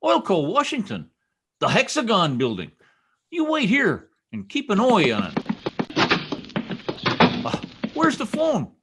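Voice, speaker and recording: A man's voice: high voice, huge pitch swings, a little slow, long pauses, fairly bright tone, slightly rough, full character voice. A man playing a blustery, boastful small-town husband in old-time radio comedy, with folksy exclamations. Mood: frantic excitement. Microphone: video call microphone. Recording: video call recording.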